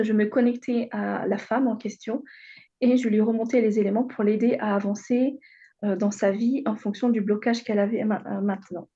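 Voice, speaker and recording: A woman's voice: fairly high pitch, warm tone, breathy edge, lo-fi sound. A woman in her thirties from Réunion, telling her personal story as a radio guest, hesitant with many fillers. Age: 30s.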